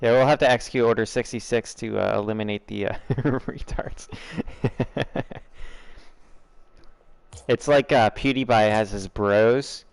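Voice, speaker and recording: A man's voice: mid-range voice, fairly even intonation, measured pace, vocal fry, long pauses, clear, resonant tone, no room sound. A young American man with a General American accent, chatting loosely and laughing as he streams a game and talks to his chat. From United States. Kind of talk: casual livestream chatter over gameplay.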